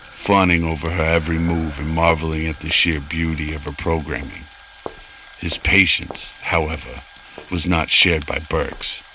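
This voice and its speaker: Very deep, bright-edged male narrator. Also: slight background noise, poor audio. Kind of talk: scripted radio drama narration.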